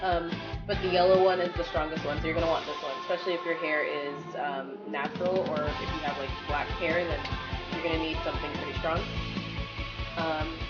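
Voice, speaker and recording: A woman's voice: low-pitched, steady tone, fairly quick. Congested young woman chatting over music. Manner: chatty, filler-heavy, rambling. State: congested from a cold. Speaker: beauty vlogger. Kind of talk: casual hair tutorial. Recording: dull lo-fi sound with background music.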